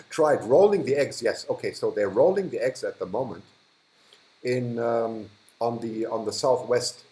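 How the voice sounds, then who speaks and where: A man's voice: medium pitch, expressive, measured pace, a little mellow, reverberant. A man with a German accent hosting a casual livestream, chatting with viewers, laughing often and trailing off mid-sentence. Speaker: livestream host. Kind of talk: casual live chat reading viewer comments.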